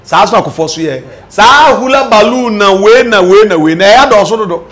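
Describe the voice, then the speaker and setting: A man's voice: high voice, lively intonation, a little fast, fairly bright tone. A middle-aged man with a Ghanaian accent discussing politics emphatically, asking pointed questions and repeating his phrases. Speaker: political commentator. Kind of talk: animated political discussion, switching between languages. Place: indoor studio set.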